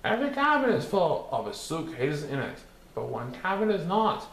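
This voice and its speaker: Animated, higher-voiced man reading a storybook. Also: normal speed, gravelly. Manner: theatrical, rhythmic, sing-song delivery of verse. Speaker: storybook reader. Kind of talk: expressive children's picture book read-aloud. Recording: roomy echo with some background noise.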